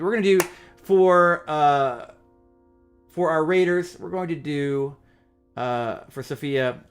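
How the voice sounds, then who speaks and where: A middle-aged man hosting a casual music livestream, chatty and upbeat, with lots of fillers. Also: on the high side. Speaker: livestream pianist host.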